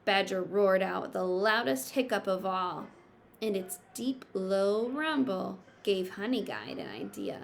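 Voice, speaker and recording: A woman reads a children's story at an unhurried pace, with some creak in her voice. She tells it expressively and pauses to explain things simply, as a teacher does. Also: mid-range voice, lively intonation. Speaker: teacher reading aloud to pupils. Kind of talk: picture book read-aloud with teaching asides.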